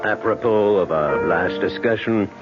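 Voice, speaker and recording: An actor playing a suave French creditor with pointed, formal politeness and quiet menace, in a radio drama. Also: male voice, fairly low pitch, measured pace, close to an everyday voice. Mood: smoothly menacing. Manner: courtly, hesitant, pointed politeness. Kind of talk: old-time radio drama acting. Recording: dull radio recording, music behind.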